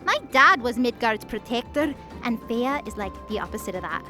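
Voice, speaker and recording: A woman's voice: expressive, normal speed, bright, forceful tone, soft background music. A woman putting on a stern, gloomy girl's voice. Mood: mock-solemn. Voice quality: forced low, mock-solemn. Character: gloomy, stern young woman in a clumsy impression.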